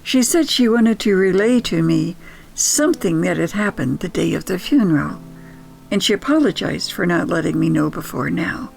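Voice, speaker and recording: Very creaky older woman telling stories. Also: fairly low pitch, animated, darkish tone, faint music behind. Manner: reflective, unhurried. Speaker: podcast storyteller. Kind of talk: nostalgic personal storytelling, scripted narration.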